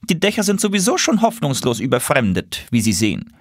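A man's voice: wildly swooping pitch, fairly quick, dry recording, studio quality. A man voicing a stern, pompous grey-blue male pigeon who speaks in an officious, fussy tone. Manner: fussy, officious, high-pitched.

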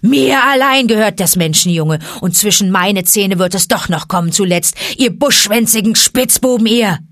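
A woman's voice: medium pitch, moderate pace, gravelly, fairly bright tone, no echo, clean studio sound, pitched above the performer's own voice. A woman reading a storybook and voicing a bullying male tiger who roars his threats. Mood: threatening. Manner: roaring, bullying. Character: menacing boastful male tiger.

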